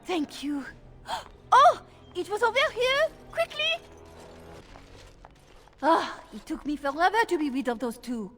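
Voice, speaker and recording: An actress playing an anxious, scheming elven handmaid in a video game. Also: female voice, extremely high pitch, sing-song, a little slow, long pauses, bright, forceful tone, faint music behind, exaggerated voice. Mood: feigned panic. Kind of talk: video game cutscene acting.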